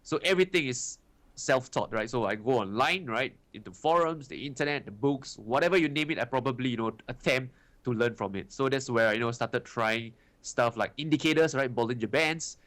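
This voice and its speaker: A man's voice: mid-range voice, a little fast, a bit bright. Chatty young Singaporean man. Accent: Singaporean English. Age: 20s. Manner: chatty, many fillers like "right" and "you know". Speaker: guest trader. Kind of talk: casual interview answers.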